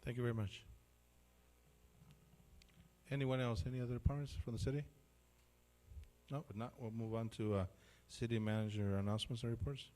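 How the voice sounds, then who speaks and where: Man, meeting chair, brisk, loud, somewhat distant from the mic. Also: medium pitch, level tone.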